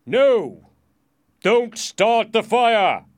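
A man voicing a frightened, impatient storybook king who shouts urgent orders. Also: sits high, very animated, very slow, voice pushed up, full character voice.

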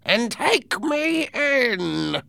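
A man voicing a sinister, commanding, ugly blind beggar in a high, creaky, strained voice for a storybook. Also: sing-song, sharp tone, no room sound, heavily acted. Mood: menacing. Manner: slow, drawn-out commands.

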